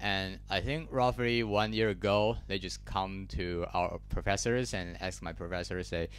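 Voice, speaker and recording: Taiwanese student in his twenties, low and smooth voice, halting conference talk with lots of fillers, handheld mic in a lecture hall. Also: fairly even intonation. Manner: hesitant, many fillers and restarts. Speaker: conference speaker. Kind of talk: technical talk.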